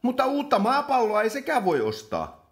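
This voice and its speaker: An actor performs an exasperated chairperson in a comic radio play. The delivery is teacherly and scolding, with hesitant stumbles and a very lively pitch that rises and drops.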